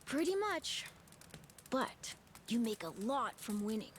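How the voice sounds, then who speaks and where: A woman's voice: fairly high pitch, sing-song, takes it slowly, a little hiss or hum. A woman voicing a restless, eager young island boy with an American accent and casual speech in a video game cutscene. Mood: casual, explaining. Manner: casual, youthful talk. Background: game music.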